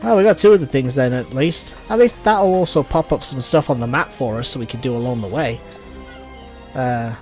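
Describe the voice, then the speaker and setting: A man's voice: mid-range voice, fairly quick, a little mellow, soft background music. A man giving casual gameplay commentary as a let's play host.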